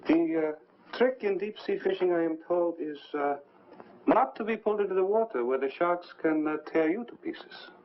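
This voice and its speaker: An actor playing a patient, philosophical mystic mentor who instructs formally, in a 1950s American film style. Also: male voice, high-pitched, animated, a little hiss or hum. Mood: wryly cautioning. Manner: formal, measured teaching phrases. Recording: muffled old film soundtrack, loud music.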